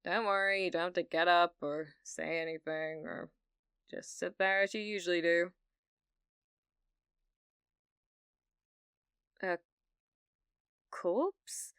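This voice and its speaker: A British woman playing a needy, delusional young gamer in an ASMR roleplay, hesitant and stammering, blithely casual about dark things. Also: lowish voice, fairly even intonation, unhurried, smooth, no room sound. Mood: chirpy, unsettling.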